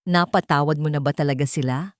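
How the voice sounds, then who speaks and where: Affectionate Filipino husband, voiced by a low-pitched woman. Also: fast, dry recording, studio quality, natural voice. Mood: quietly concerned. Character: protective, admiring, scarred husband in a romance. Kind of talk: audiobook character dialogue.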